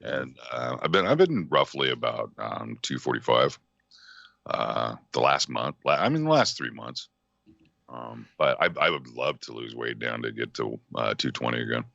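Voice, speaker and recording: A just-woken man rambles in a very deep, gravelly voice that still swoops up and down a lot. His tone has a bright, forceful edge and the sound is dry. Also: sing-song, normal speed, dry recording, highs cut off. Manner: groggy, rambling. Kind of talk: casual chat.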